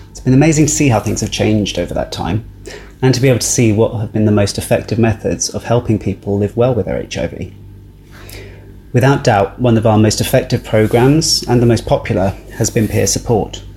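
A man's voice: fairly low pitch, dark tone, a little hiss or hum. A man delivering scripted podcast narration as a charity project leader.

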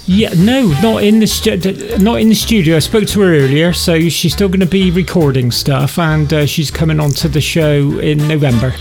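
A male radio co-host with a fairly high, creaky voice chats casually and somewhat haltingly, with music behind him. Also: fairly even intonation, a little mellow. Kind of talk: casual chat.

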